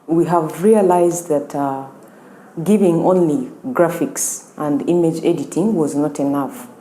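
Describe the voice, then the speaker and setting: A woman's voice: sits low, measured pace, mellow. A woman explaining a training programme in an interview, recorded in an echoey room with faint noise. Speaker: training staff member.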